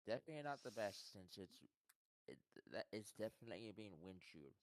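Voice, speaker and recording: A man's voice: on the low side, big pitch swings, fairly quick, a little hiss or hum. A young man co-hosting a stream, laid-back and terse, answering with short "okay"s. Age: young adult. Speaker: co-host. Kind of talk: casual chat.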